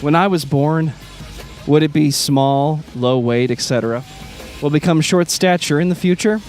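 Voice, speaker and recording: A man's voice: on the high side, moderate pace, a little mellow. A man co-hosting a music podcast, speaking casually with a wry manner. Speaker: podcast co-host.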